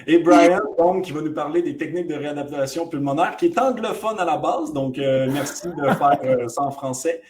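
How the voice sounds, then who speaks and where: A man's voice: on the high side, normal speed, hardly any pauses, a little mellow, a little hiss or hum. A man moderating a webinar, introducing the speaker and going over housekeeping in a casual way, with many fillers, over a video call.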